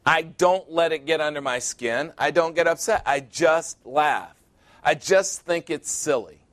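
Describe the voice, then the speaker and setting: A man in his sixties preaching a sermon, emphatic and repetitive, building to loud peaks. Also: fairly high pitch, measured pace. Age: 60s.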